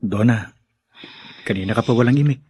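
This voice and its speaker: An actor playing a young, mild, placating husband and dutiful son in a Filipino radio drama, hesitant, with a Filipino accent. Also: male voice, mid-range voice, brisk, warm tone, slightly rough, no echo, studio quality. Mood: concerned, apologetic.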